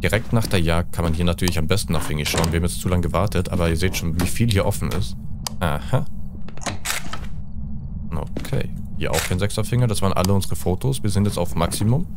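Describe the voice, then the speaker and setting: A man in his thirties with a low-pitched voice talks through a game in casual streamer fashion. He drops in English gamer slang as he goes. He sits close to a desk microphone, with noticeable game ambience behind him. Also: quick pace, heavy background noise. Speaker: let's play streamer. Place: indoors, seated close at a desk. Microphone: desk microphone at close range.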